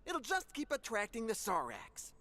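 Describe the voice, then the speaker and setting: An actress playing a haughty, ruthless council official in a video game, imperious and cutting. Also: female voice, a little high, huge pitch swings, moderate pace, fairly bright tone. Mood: insistent. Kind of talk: video game cutscene acting.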